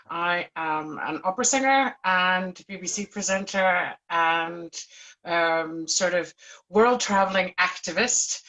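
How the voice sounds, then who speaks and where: A woman's voice: fairly low pitch, sharp tone, poor audio. A woman on a panel, an opera singer and presenter, speaking in an articulate, earnest way. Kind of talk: panel discussion.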